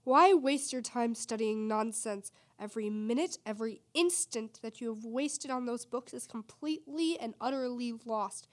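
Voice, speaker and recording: Woman acting a stern, high-voiced science professor. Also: normal speed, hardly any pauses, natural voice. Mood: scornful, indignant. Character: stern, dismissive science professor mentoring a student. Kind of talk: radio play acting.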